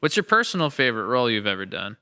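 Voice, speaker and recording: A man's voice: mid-range voice, big pitch swings, racing, sharp tone. A man co-hosting a podcast in casual chat, with a playful, enthusiastic way of talking. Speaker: podcast co-host.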